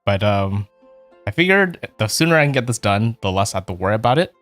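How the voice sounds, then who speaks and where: A man's voice: lowish voice, big pitch swings, fast talker, a bit bright, no echo, faint music behind. A man hosting a casual video game let's play, chatting in a rambling way with fillers and small chuckles. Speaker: virtual streamer playing a video game.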